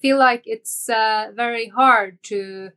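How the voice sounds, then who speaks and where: A young adult woman from Norway with a Norwegian accent, a podcast guest talking in a casual interview and often pausing on ums and uhs as she searches for words. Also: fairly high pitch, sharp tone.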